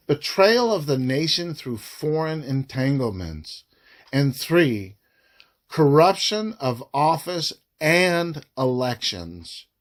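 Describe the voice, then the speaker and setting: Slow, deliberate man reading formally. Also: a little high. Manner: deliberate, emphatic phrasing with frequent pauses. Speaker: narrator reading a report aloud. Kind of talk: formal read-aloud of a government report.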